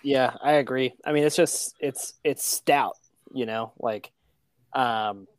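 A man co-hosts a sports podcast in a casual, chatty way. His voice sits at an ordinary pitch and the recording is dry. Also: slow, no room sound. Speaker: soccer podcast co-host. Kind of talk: casual chat.

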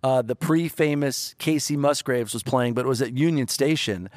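A man's voice: mid-range voice, moderate pace, a little mellow, no room sound. A middle-aged man with an ordinary, mid-range voice spins playful, self-mocking stories as a guest on a podcast. He is recorded close and dry, with no room sound around him. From Detroit, Michigan. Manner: playful, jokey storyteller. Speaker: songwriter guest. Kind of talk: casual podcast storytelling.

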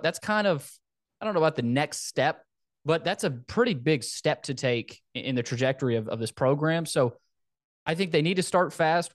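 A man's voice: medium pitch, no room sound, clean studio sound. A man hosting a sports podcast, talking through a football preview in a casual, conversational way.

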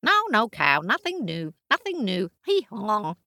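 A woman voicing an excitable, chatty male donkey in a storybook, braying and pleading in high squeals. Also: a little slow, no room sound, studio quality, heavily acted. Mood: cheerful. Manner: high-pitched brays, pleading, gleeful.

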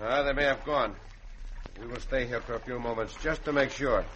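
An actor playing a brave, commanding yet kindly caballero hero with a Mexican accent in a radio western. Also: male voice, mid-range voice, normal speed, close to an everyday voice. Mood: calm, reassuring. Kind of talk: old-time radio western acting. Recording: muffled, very noisy vintage radio recording.

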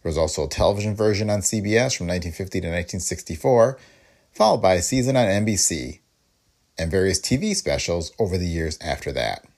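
Very low, gravelly podcast man. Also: lively intonation, fast talker, darkish tone. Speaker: podcast host. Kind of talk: scripted narration.

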